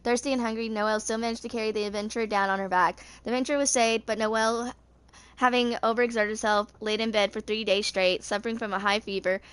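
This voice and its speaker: A woman's voice: fairly high pitch, fairly even intonation, quick pace, a bit bright, clean tone. A woman in her twenties reading video game character lore aloud, stumbling over words now and then. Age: 20s. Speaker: gaming video creator.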